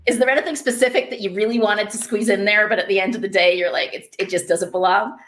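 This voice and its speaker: A woman's voice: medium pitch, fairly even intonation, fast talker, a bit bright, slight background noise. A woman with an enthusiastic, encouraging manner, hosting a podcast and interviewing an author in a conversational way.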